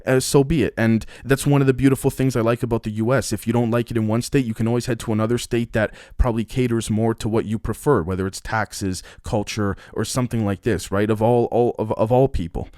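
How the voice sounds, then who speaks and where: A man with a fairly low voice runs through the day's headlines on his podcast. He comments on each one bluntly and swears freely, and he often stops and restarts his sentences. The sound is dry and close, with no room around it. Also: fairly even intonation, fast talker, hardly any pauses, darkish tone, dry recording. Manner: blunt, profane, rambling with many restarts. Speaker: podcast host. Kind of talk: casual news commentary.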